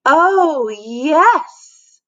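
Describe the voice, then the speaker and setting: A spoiled little schoolgirl from a storybook, put on by a woman reader. The voice jumps up high and whines with scheming, put-upon drama. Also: expressive, extremely slow, pushed and bright, highs cut off, exaggerated voice. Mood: eager, greedy. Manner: trilling, wailing, put-upon.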